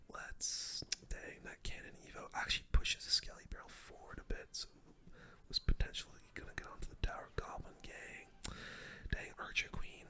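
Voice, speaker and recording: A person doing gameplay commentary in a breathy, unvoiced ASMR whisper, using casual gamer slang. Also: bright, forceful tone. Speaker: gaming streamer, commentator.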